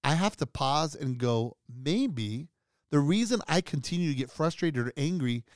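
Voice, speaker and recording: A man's voice: on the high side, lively intonation, moderate pace, no echo, clean studio sound. A man co-hosting a podcast, chatting casually and walking through a list with an upbeat manner and frequent restarts. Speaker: podcast co-host.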